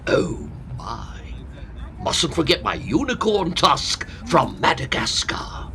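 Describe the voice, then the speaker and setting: An actor playing a fussy, self-pitying hypochondriac old Victorian professor in a comic audio drama, theatrical and pompous. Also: male voice, mid-range voice, huge pitch swings, slow, sharp tone, heavily acted.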